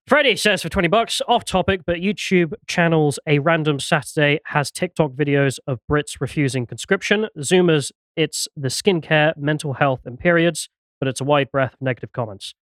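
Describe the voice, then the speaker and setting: Male podcast host, higher-pitched voice, casual chat, reading messages, close dry sound. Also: normal speed, a bit bright, dry recording.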